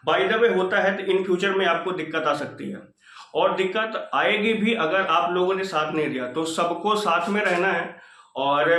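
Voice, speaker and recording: High-pitched man exhorting listeners, echoey room. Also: pushed and bright. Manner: earnest, exhorting, repetitive. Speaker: channel host addressing exam candidates. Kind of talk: informal motivational update talk. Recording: roomy, echoing sound.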